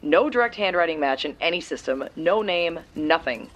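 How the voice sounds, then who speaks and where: An actress playing a matter-of-fact young woman who handles lab and research work, speaking briskly. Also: low-pitched, lively intonation, fairly bright tone. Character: quick, techy young woman friend doing lab research. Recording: dull, lo-fi sound.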